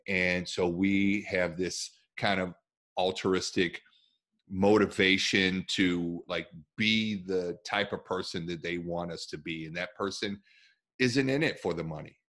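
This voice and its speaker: A man with a deep, low voice hosts a podcast from a room at home, talking into a headset on a video call. He chats casually but with emphasis, punching his key points. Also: measured pace. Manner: animated, emphatic, gestures with hands. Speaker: podcast host. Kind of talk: casual interview chat.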